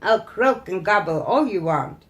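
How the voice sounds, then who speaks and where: A woman voicing a wicked, gloating old witch in a storybook tale, mocking and menacing, with coaxing drawn-out words. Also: mid-range voice, expressive, close to an everyday voice.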